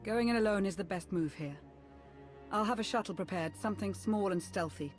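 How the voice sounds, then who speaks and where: Man as high-voiced courteous game aide. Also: brisk, faint music behind, natural voice. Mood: dutiful, approving. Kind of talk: video game cutscene acting.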